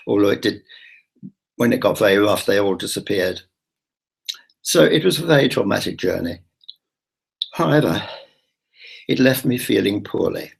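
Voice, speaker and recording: A man's voice: fairly low pitch, creaky, breathy edge, rough recording. A man telling a rambling, confiding travel-diary story, full of restarts and asides. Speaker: travel vlogger.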